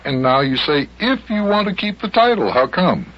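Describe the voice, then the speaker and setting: A man with an American accent playing a patient, dryly amused grown-up who gently scolds a boy in a children's radio serial. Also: high-pitched, very animated, normal speed. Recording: hissy, dull old radio recording.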